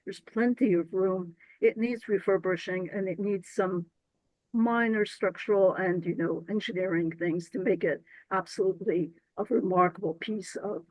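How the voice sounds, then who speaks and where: Woman applicant, scratchy hoarse voice, earnest, dry close mic. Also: mid-range voice, no echo. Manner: earnest, self-correcting, restarts phrases. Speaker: grant applicant presenting to council. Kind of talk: explaining her application at a council meeting.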